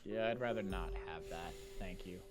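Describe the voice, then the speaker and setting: Low-voiced young man, music behind. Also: moderate pace. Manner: skeptical, dry, with thoughtful hums. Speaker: roleplay channel host. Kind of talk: in-character roleplay chat. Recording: clear background music.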